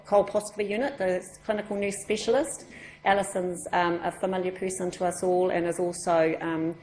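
A woman with a New Zealand accent welcoming a meeting in an informal, chatty way, with many ums and restarts. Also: mid-range voice. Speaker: education session organiser. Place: meeting room with a group. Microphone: far room microphone. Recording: echoey room.

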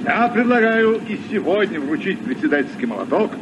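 Casting: nostalgic castaway mariner, theatrical and expressive, muffled vintage radio drama. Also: male voice, sits high, a little fast. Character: nostalgic, courteous seasoned castaway sea captain. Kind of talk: vintage Soviet radio play acting. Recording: muffled, noisy old radio recording.

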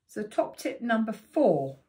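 A woman in her sixties with a croaky voice, giving practical meditation tips to the camera in a chatty, unhurried way from her living room. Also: fairly high pitch, sing-song, mellow. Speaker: meditation teacher. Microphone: camera in front of her.

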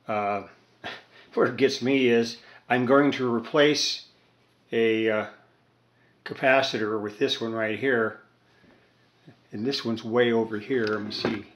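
An older man talks at his home workbench in a relaxed, meandering way, stopping often for fillers and restarts. His pitch sits in a middle range. The small workshop gives his voice a noticeable roomy echo. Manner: rambling, hesitant, many fillers. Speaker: radio repair hobbyist vlogger. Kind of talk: casual workbench vlog. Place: cluttered home workshop with electronics bench.

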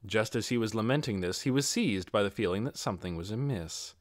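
A man's voice: lowish voice, animated, a little fast, no echo, studio quality. A man narrating a fantasy audiobook from a written script.

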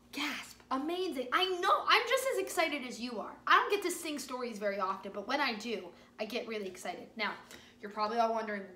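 A young woman telling stories to small children as a teacher, excited and expressive, with dramatic pauses. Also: a little high. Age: young adult. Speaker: teacher hosting a children's story time. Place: indoor living space at home. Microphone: phone or camera a few feet away. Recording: roomy home recording with faint noise.

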